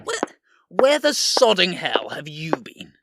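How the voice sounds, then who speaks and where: A man's voice: high voice, wildly swooping pitch, slow, creaky, noisy background, full character voice. A man playing an irate, foul-mouthed, blustering prime minister in an audio drama. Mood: furious.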